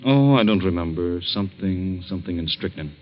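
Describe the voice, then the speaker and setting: An actor playing a genial but evasive husband in a 1940s radio drama, with a breezy, casual manner. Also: male voice, low-pitched, expressive, a little fast. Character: smooth, evasive, genial horse-loving husband. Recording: dull old 1940s radio recording.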